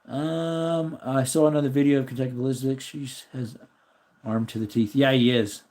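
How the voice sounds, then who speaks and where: A man with a slightly raspy voice hosts a relaxed live stream. He rambles and thinks aloud as he answers his viewers' comments. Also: medium pitch, moderate pace. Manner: rambling, thinks aloud while looking things up.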